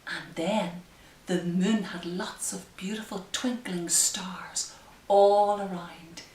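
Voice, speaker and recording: A woman hosting story time for small children as a librarian, speaking gently, asking them questions and leading into simple rhymes. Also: fairly low pitch, steady tone, slow pace, a little hiss or hum. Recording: roomy echo, distant microphone.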